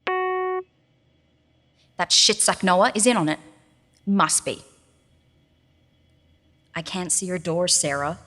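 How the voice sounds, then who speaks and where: A woman's voice: on the low side, huge pitch swings, drawn-out words, pauses often, fairly bright tone, soft background music, exaggerated voice. An actress playing a frightened, bitter captive woman who talks to herself with sarcasm and emotion in an audio drama. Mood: bitter, mocking. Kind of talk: audio diary monologue in fiction podcast drama. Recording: long reverberant hall echo.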